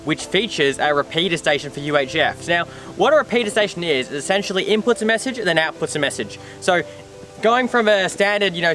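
A man's voice: a little high, pushed and bright. A man in his twenties with an Australian accent, casually explaining to camera as a vlog host outdoors. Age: 20s. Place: outdoors in dry bush clearing beside a 4WD.

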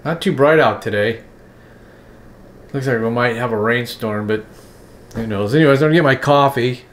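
A man in his sixties making coffee in his kitchen while chatting in a relaxed, rambling way with plenty of fillers. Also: mid-range voice, moderate pace. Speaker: vlogger. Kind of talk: casual morning vlog with demonstration. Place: home kitchen.

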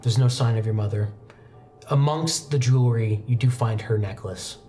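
A young man with a mellow, dark-toned voice runs a tabletop roleplaying game as game master. He tells the story in measured phrases with pauses, and soft music plays behind him. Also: medium pitch. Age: young adult. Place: indoor studio set, seated at a desk. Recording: background music under the voice.

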